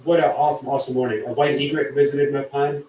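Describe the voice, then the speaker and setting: A man's voice: mid-range voice, level tone, quick pace, reverberant, far from the mic, slight background noise, low-quality recording. A man hosting a live stream, chatting casually and reading viewer comments aloud. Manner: chatty, warm to viewers, reads chat aloud. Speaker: livestream host.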